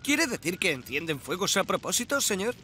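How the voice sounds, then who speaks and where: High, eager rookie cartoon fireman. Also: a little fast, slight background noise, full character voice. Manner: excitable, deferential. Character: eager, naive young male junior firefighter. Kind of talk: children's cartoon dubbing.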